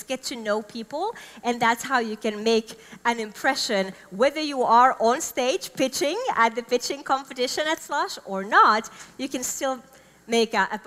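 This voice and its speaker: A woman's voice: a little high, pushed and bright. A Finnish woman in her thirties giving an enthusiastic keynote speech on a large conference stage with a headset mic, English not her first language. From Finland. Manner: enthusiastic, upbeat, gestures while talking. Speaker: conference speaker. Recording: long hall reverb.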